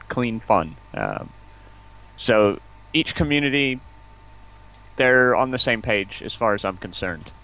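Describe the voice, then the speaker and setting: A man with a fairly low voice chats casually as a guest on a stream. His delivery is informal and halting, with words repeated as he gathers his thought. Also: a bit bright, rough recording. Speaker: interview guest, gamer. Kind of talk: casual online chat.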